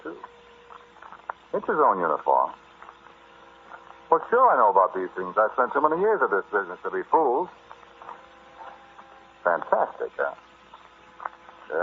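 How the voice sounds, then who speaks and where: An actor playing a brash, sardonic American amateur archaeologist, talking straight at the listener in a 1940s radio drama monologue. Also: male voice, low-pitched, narrow, tinny audio. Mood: dryly macabre. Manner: conversational, sardonic, dismissive, addressing the listener directly. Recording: noisy, band-limited 1940s radio recording.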